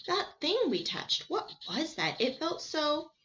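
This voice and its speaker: A woman reading excited, bewildered boys pelting out questions in a storybook. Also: sits high, slow, highs cut off, slight background noise, voice pushed up, heavily acted. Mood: eager.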